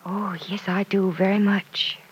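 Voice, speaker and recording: The young American heroine of an afternoon radio serial, confiding haltingly and earnestly at the kitchen table about love and her own doubts. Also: medium pitch, little pitch movement, husky, muffled recording. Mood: hesitant, thoughtful. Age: young adult. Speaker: radio drama actress. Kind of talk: old-time radio soap opera acting. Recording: vintage radio transcription.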